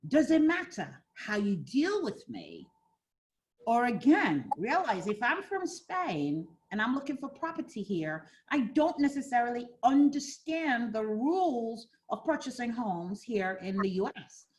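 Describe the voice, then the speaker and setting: A woman's voice: on the high side, big pitch swings, measured pace, a little hiss or hum. A woman from Ghana teaching a fair housing class for realtors, role-playing buyers and agents as she talks. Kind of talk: interactive training class.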